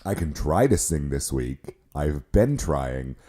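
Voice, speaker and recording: A hoarse man co-hosting a podcast, chatting casually with lots of restarts and poking fun at himself. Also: a bass voice, very animated, slow, dark tone. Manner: rambling, self-mocking, many restarts. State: hoarse from illness, voice cracks. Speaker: podcast co-host. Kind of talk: casual chat.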